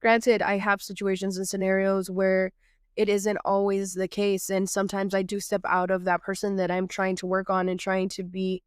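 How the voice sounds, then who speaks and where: A woman getting over a cold, so her voice comes out nasal, speaking in a smooth, clear tone with a dry, close sound. She is from Texas and hosts her own podcast. She talks earnestly and reflectively, half-improvising with plenty of "um"s. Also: mid-range voice, level tone, normal speed, dry recording. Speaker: podcast host.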